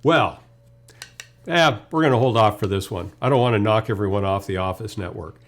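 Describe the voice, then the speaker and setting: A man's voice: lowish voice, normal speed. A man gives an informal technical demonstration as a webinar presenter, talking through each step conversationally. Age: 50s. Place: indoor video studio.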